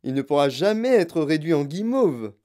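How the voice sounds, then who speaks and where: A woman's voice: low voice, sing-song, normal speed, mellow. A woman voicing an eccentric male chocolate maker who talks in an airy, emphatic, theatrical way, in a storybook reading. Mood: soothing.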